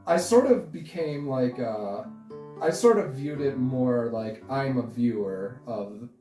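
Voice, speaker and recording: Mellow young man, distant and echoey. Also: medium pitch. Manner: rambling, halting, chuckles often. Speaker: interview guest, online animation creator. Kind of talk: casual interview chat. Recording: roomy echo, distant mic, music behind.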